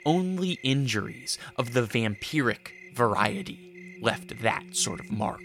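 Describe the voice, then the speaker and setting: A gaunt, brooding vampire telling his own dark radio-drama tale. His mid-pitched voice is creaky and gravelly, with grave, measured delivery. Music plays clearly underneath. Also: animated. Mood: solemn. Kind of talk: scripted radio drama narration and dialogue.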